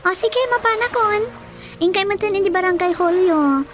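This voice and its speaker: A woman's voice: very high voice, normal speed. A woman with an Ilocano Filipino accent playing a polite, coy, mysterious night visitor in a radio drama. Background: music.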